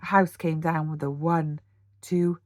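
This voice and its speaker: A British woman, a teacher, reading a children's storybook aloud in an animated bedtime-story style. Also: deep voice, a little slow, no echo. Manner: animated, with gasps and sound words.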